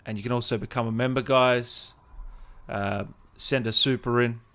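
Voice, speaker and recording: Male livestream interviewer, average pitch, casual and effusive, talking to guest and audience. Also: fairly even intonation, normal speed, fairly bright tone. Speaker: host of a gaming interview livestream. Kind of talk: casual chat with guest and viewers.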